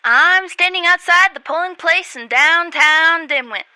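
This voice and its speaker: A woman's voice: very high-pitched, a little slow, pushed and bright, no room sound, exaggerated voice. A woman playing an earnest, dramatic on-the-scene news reporter in a radio comedy. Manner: announcer-like, dramatic. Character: earnest female field news reporter at a polling place.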